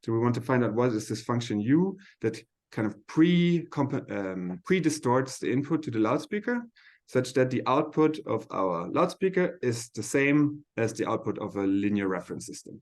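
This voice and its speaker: A man's voice: mid-range voice, sing-song, moderate pace. A man lecturing at a seminar in an informal, hesitant way, thinking aloud as he explains technical material step by step.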